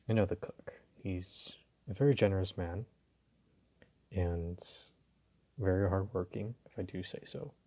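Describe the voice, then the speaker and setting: A man playing a polite, flirtatious and dominant mafia boss in an intimate audio roleplay, talking to the listener in an unhurried, teasing way. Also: low voice, a little mellow, slightly rough, full character voice.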